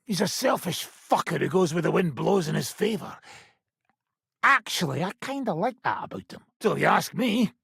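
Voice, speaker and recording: A very hoarse, heavily gravelled medieval mercenary boss from a video game. He is gruff, sardonic and blunt, giving orders with a pitch that moves about freely. The sound is close and dry. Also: animated, moderate pace, fairly bright tone, no echo, heavily acted. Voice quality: gravelly, raspy. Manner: blunt, sardonic, commanding, swearing. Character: gruff, foul-mouthed ageing medieval mercenary band leader.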